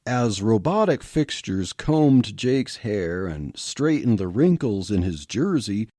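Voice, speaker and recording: Guy reading a story on his podcast, takes his time, super animated, no room echo. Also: mid-range voice, studio quality. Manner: slow, expressive storytelling.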